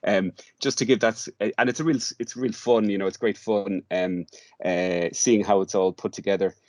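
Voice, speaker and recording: Irish guy getting interviewed on a podcast, lowish voice, hesitant and stop-start, very dry sound. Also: fairly even intonation, dry recording. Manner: hesitant, halting delivery with repeated words and long searching pauses. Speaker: interview guest, radio drama workshop director.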